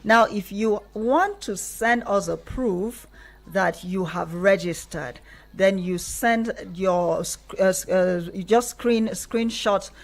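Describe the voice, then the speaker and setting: A woman's voice: mid-range voice, slow. A woman with a Nigerian accent hosting a live radio programme from a studio, talking to guests and listeners. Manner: affirming interjections while guests talk. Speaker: radio host.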